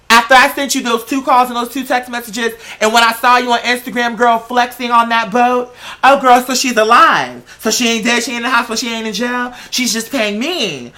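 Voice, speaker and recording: Sassy bright-voiced man venting. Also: very high-pitched. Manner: sassy, confrontational, slangy, emphatic. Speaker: vlogger telling personal stories. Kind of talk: casual sassy storytelling rant.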